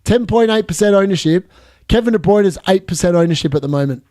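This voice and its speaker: A man in his late thirties hosting a live fantasy football stream, chatty and excitable, laughing often as he answers viewer questions. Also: sits high, fast talker, no echo, clean studio sound. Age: 30s.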